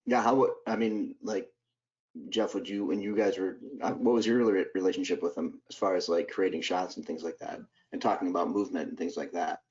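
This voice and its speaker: A low-pitched man puts questions as host of a casual live interview. He rephrases as he goes, a little tentatively. The audio is dull, like a video call. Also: fairly even intonation, brisk, a little mellow. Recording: muffled lo-fi online call.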